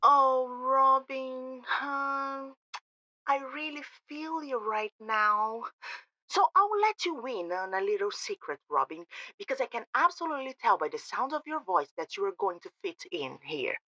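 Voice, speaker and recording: A woman's voice: sits high, expressive, unhurried, bright, forceful tone, no room sound, exaggerated voice. A woman playing a saccharine, gushing receptionist for a secretive organization in an audio drama, with a syrupy sales manner, over a phone call. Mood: syrupy, confiding. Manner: effusive, sales-like, syrupy familiarity.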